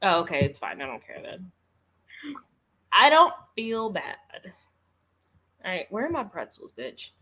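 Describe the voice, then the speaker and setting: A woman with a middle-pitched voice banters casually on a livestream. She is blunt and swears freely, with a playfully sassy manner. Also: huge pitch swings, a little slow, long pauses, sharp tone. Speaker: reading livestream co-host.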